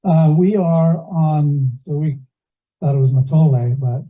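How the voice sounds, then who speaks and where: A man's voice: on the high side, a little slow. A man giving a casual, rambling introduction of himself, on muffled meeting audio. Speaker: cabin owner introducing himself.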